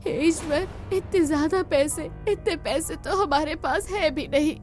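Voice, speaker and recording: A woman's voice: high-pitched, unhurried, faint music behind, pitched above the performer's own voice. A woman voicing a worried, dutiful young wife in a cartoon moral story, speaking earnestly and respectfully. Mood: shocked, distressed.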